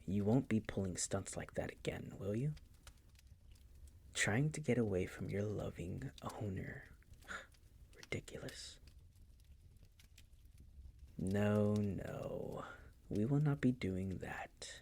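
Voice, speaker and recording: A man playing a possessive, coolly menacing vampire master in an intimate audio roleplay, teasing and condescending in a breathy, gravelly whisper. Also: fairly low pitch, lively intonation, a little mellow, exaggerated voice. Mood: mock-tender, menacing. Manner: slow, condescending, teasing, coaxing.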